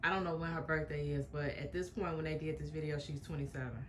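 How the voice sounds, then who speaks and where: A woman's voice: deep voice, fairly even intonation, fast, fairly bright tone, a little hiss or hum. A young woman hosting a reaction video, chatting frankly and opinionated to her viewers, with in her speech. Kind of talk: casual reaction commentary.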